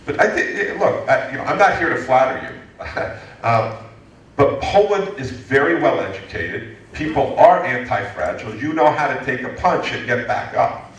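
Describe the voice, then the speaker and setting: A man in his fifties from the United States, answering an audience question at a lecture in a lively, joking way with many restarts. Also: mid-range voice, normal speed, dull audio. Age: 50s. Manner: animated, joking, frequent restarts. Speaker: guest speaker, entrepreneurship lecturer. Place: lecture hall. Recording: echoey hall from far off.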